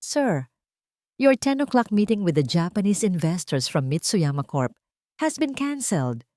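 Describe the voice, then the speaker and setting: A woman's voice: lowish voice, very animated, measured pace, darkish tone, dry recording, clean studio sound. Woman as restrained, emotionless female secretary. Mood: flat, formal. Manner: formal, restrained.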